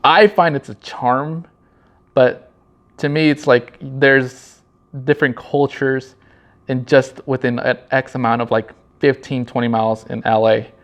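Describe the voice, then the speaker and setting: A man from Los Angeles County talks casually and reflectively about himself, halting often and repeating his phrases. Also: medium pitch, fairly even intonation. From Los Angeles County, California. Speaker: vlog host and marketing director. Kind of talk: casual personal monologue, storytelling. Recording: slightly dull, lo-fi sound.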